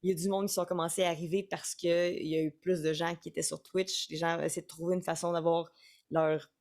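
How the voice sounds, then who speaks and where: A woman's voice: fairly low pitch, little pitch movement, fairly quick, a little mellow. A young woman with a Quebec French accent, a singer-songwriter chatting casually in an interview and often restarting her words.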